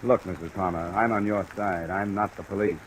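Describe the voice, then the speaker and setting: An actor playing a wry, wisecracking American insurance investigator in a 1950s radio detective drama. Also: male voice, low voice, steady tone, fairly quick, close to an everyday voice. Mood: patient, insistent. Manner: dry, wisecracking. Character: wry, brisk male insurance investigator narrating his case. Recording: noisy old radio transcription.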